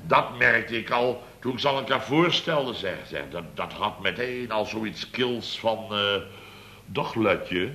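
A man's voice: on the low side, expressive, takes it slowly, pushed and bright, a little hiss or hum, full character voice. An actor playing a blustering, exasperated boss of a building firm in a radio comedy, exclaiming and repeating himself as he tells his tales. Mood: indignant storytelling. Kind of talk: Dutch radio comedy acting, anecdote telling.

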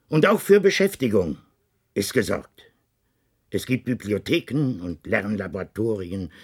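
Grave lonely leader of an underground state, slow n husky, formal radio play monologue. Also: male voice, fairly low pitch, heavily acted. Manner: formal, measured, deliberate, solemn with weighted pauses. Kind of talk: German science fiction radio play, recorded monologue.

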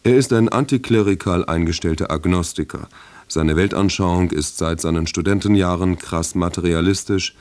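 A male radio announcer with a deep voice reads prepared broadcast copy. His delivery is measured and formal. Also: darkish tone. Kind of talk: scripted broadcast announcement, credits and preview.

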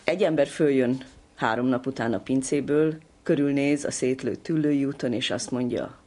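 A woman's voice: a bass voice, dark tone. An older woman speaking as an interviewed ethnographer, telling anecdotes and explaining in conversational interview talk.

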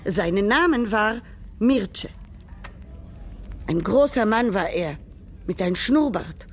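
An actress playing an elderly grandmother who tells a grandchild about her childhood, speaking haltingly with broken grammar. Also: mid-range voice, lively intonation. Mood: reflective. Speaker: narrator figure telling a childhood memory. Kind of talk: radio drama monologue.

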